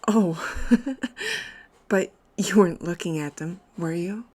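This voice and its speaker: Girl doing friendly young adventurer lady in a game, husky lowish voice, earnest and conversational. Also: slow, a little mellow. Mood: teasing. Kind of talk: video game dialogue parody.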